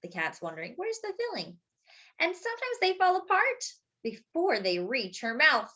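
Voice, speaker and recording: A woman's voice: high voice, wildly swooping pitch, moderate pace, sharp tone, lo-fi sound. A woman hosting story time, reading a picture book expressively for young children, stretching key words and adding simple explanatory asides.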